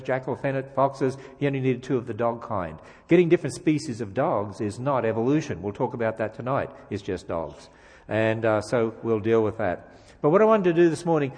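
A man's voice: medium pitch, darkish tone. Australian man preaching in a reverberant church. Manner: jokey, emphatic preaching with rhetorical questions. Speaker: guest speaker. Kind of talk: sermon. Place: church sanctuary.

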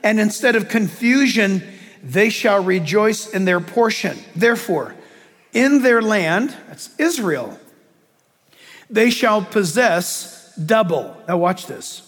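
A middle-aged man preaching a sermon, reading scripture with emphasis and dropping into hushed asides. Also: high voice, expressive, slow. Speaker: pastor. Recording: long reverb of a large hall.

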